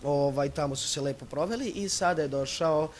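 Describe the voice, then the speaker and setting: A man's voice: on the high side, quick pace, slight background noise. A young man with a Serbian accent hosting a radio interview in an unscripted, polite and hesitant way. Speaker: school radio host. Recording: music playing behind the voice.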